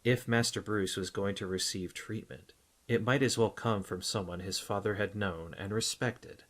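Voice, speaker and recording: A man's voice: lowish voice, steady tone, normal speed, fairly bright tone. A man reading a novel aloud as an audiobook narrator in scripted narration.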